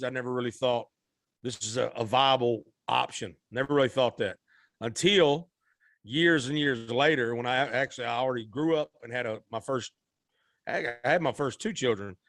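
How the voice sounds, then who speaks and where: A man from Arkansas in his thirties, talking as a podcast guest in a loose, folksy, rambling manner. His pitch sits in an ordinary middle range. The sound is dry and close, with no room around it. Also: normal speed, fairly bright tone, dry recording. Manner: rambling, self-correcting, folksy. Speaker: guest singer. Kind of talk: relaxed conversational storytelling.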